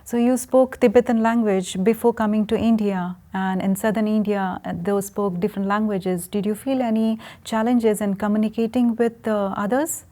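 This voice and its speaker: A woman interviewing a monk, asking questions and murmuring softly in agreement. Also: medium pitch, fairly even intonation, normal speed, hardly any pauses. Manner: soft frequent encouraging murmurs.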